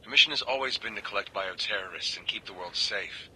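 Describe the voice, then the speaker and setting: An actor playing a weary, cynical government agent turned secret informant, confiding in a plain-spoken, brooding way in a video game audio log. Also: male voice, on the low side, fast talker, bright, forceful tone, slight background noise, low-quality recording. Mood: grave, warning.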